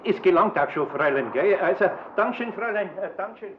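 A man's voice: sits high, expressive, fast. Children's radio play: kindly older Bavarian male, flustered, repeats himself, dull noisy sound. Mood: polite, hesitant.

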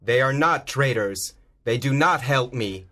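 A man reading a storybook aloud, voicing a bold, righteous masked hero in slow, simple lines. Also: medium pitch, expressive, fairly bright tone. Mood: stern. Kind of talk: graded reader audiobook.

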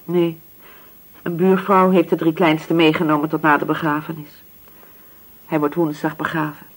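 Husky, anxious grieving widow. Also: female voice, low-pitched, flat delivery, normal speed, rough recording. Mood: weary, resigned. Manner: hesitant, repeating words, submissive. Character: poor, anxious, grieving working-class widow and mother. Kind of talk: radio drama acting.

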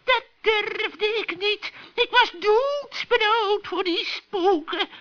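A man's voice: very high-pitched, takes it slowly, sharp tone, pitched above the performer's own voice, full character voice. A man voicing a frantic, wheedling old forest witch in a children's radio play, panicky, pleading and stammering. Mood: frightened. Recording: dull old radio recording.

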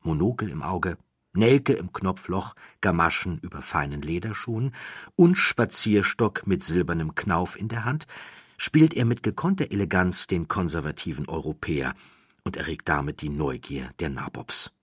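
Deep, husky male audiobook narrator. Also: lively intonation, moderate pace, no echo, clean studio sound. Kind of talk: scripted literary audiobook narration of a true-crime story.

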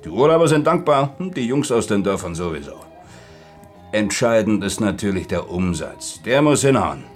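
An actor playing a talkative, jovial, businesslike pub manager in a radio play, with a folksy way of talking. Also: male voice, on the low side, sing-song, normal speed, faint music behind. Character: chatty, businesslike male lakeside pub manager. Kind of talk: naturalistic radio drama dialogue.

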